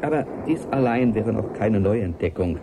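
An actor playing a solemn, visionary male scientist in a German radio play, confiding in a measured, earnest, formal way. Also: fairly low pitch, expressive. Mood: grave, certain. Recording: clear vehicle-like background noise.

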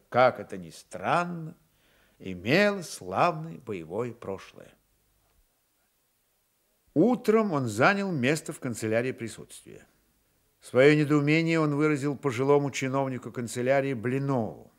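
A man's voice: medium pitch, very animated, a little slow. A man reading scripted literary narration for a radio drama.

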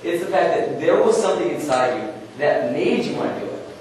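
A man's voice: fairly high pitch, big pitch swings, brisk, a little mellow. Young male workshop presenter, earnest, chatty, in an echoey room far from the mic. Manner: earnest, questioning, conversational with fillers. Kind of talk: conference workshop talk. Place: conference room, speaking to an audience. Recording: echoey room, distant mic, faint noise.

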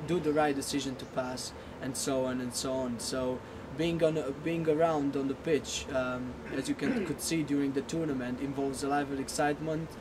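A man in his twenties with a Romanian accent answering interview questions in a halting way, often repeating words. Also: fairly even intonation. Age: 20s. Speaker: assistant football coach being interviewed. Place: outdoors in front of bushes. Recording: noisy outdoor recording with vehicle rumble.

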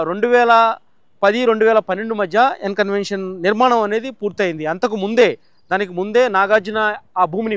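A man's voice: high voice, fairly bright tone, clean tone, no room sound. A man reporting the news from a site, explaining step by step with fillers and restarts.

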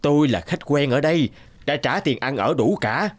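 A man voicing a wily, smooth-talking traveling merchant in an animated folk tale. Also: a little high, moderate pace, lightly acted. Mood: persuasive.

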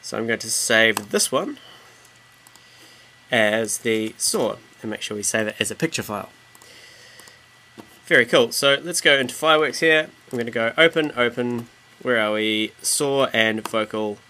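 A man with a New Zealand accent explaining software step by step in an informal, slangy way as a tutorial presenter. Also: mid-range voice, moderate pace, long pauses, slight background noise. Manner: relaxed, casual slangy explaining. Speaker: tutorial presenter and music producer.

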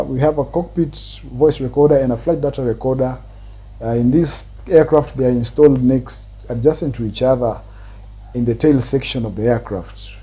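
A man in his thirties presenting a technical tutorial, explaining step by step in deliberate, short phrases with a husky voice. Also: mid-range voice, dark tone. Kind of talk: explanatory lecture.

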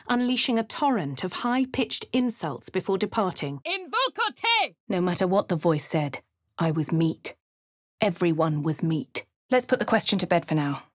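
Posh English adventurer. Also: female voice, medium pitch, no echo. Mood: commanding. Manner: crisp refined delivery, shouts spell incantations. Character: posh young adult female adventurer. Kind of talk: game character voice lines and narration. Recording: dry studio voice booth.

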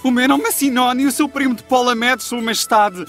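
A man's voice: very high-pitched, fairly even intonation, a little fast, fairly bright tone. A man with a European Portuguese accent voicing a young, cunning Greek captive in a dubbed cartoon, earnest and dramatic. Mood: earnest, scheming. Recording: music behind the voice.

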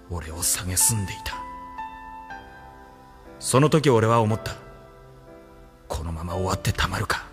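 Low-voiced, coolly ambitious officer. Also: male voice, fairly bright tone. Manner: cool, self-assured, mocking. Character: ambitious, cynical military officer risen from the slums. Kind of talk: anime-style audio drama acting.

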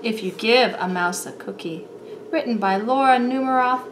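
A woman reading a children's story aloud in an expressive, deliberate way, drawing out words for young listeners. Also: fairly high pitch, background noise. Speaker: storybook reader.

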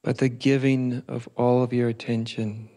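A man leading a guided meditation as a teacher, speaking gently in short, spaced phrases with long pauses between them. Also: medium pitch, little pitch movement.

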